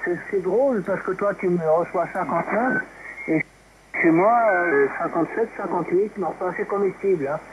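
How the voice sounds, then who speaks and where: A man's voice: high-pitched, normal speed. A man in a casual amateur radio exchange, heard over a two-way radio. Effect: two-way radio transmission. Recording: dull, noisy amateur radio reception.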